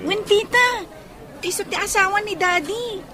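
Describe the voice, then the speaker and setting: Young daughter role woman acting, family radio play: very high, slow, childlike, eager. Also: pushed and bright, some chatter behind, full character voice. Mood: chatty, eager. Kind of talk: audio drama dialogue.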